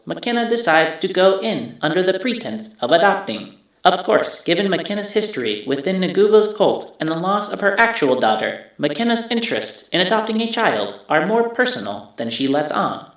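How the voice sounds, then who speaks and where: A man's voice: high voice, a bit bright, heavily acted. A man playing a methodical neural-link machine that narrates a scripted recap of stored memories. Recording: heavily echoing voice.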